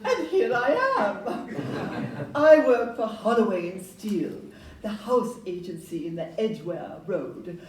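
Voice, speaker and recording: Roomy, distant recording. Actress as young Victorian house agent: polite, brisk questioner, lively mellow voice. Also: female voice, medium pitch, crowd noise. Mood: bright, introducing. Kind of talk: stage audio-play acting. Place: live performance in a club room. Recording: distant roomy live recording, faint noise.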